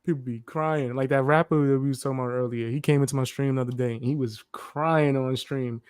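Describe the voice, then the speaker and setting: Calm, laid-back man, dry sound. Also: medium pitch, fairly quick, smooth, no echo. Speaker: guest streamer. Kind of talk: casual livestream chat.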